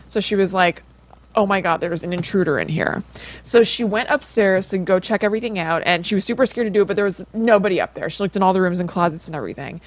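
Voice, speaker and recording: A woman co-hosting a podcast, telling a story in a casual, conversational way with lots of informal filler phrasing. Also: medium pitch. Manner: informal, peppers speech with like and kind of. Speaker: podcast co-host.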